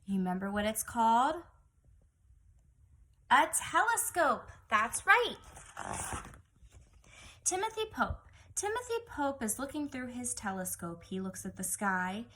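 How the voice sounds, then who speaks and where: Bright, high-voiced woman reading to young children. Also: sing-song, unhurried, long pauses, a little hiss or hum. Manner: exaggerated, singsong storytelling with questions to children. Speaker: young children's teacher reading a picture book aloud. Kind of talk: read-aloud lesson for young children.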